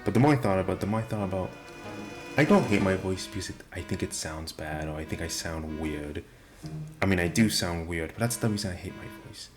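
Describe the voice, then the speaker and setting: A young man with a deep voice and nonstandard R sounds, talking casually and self-deprecatingly to the camera. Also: expressive, brisk. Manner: rambling, self-deprecating, many restarts. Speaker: vlogger. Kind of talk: casual rambling monologue. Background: video game music playing.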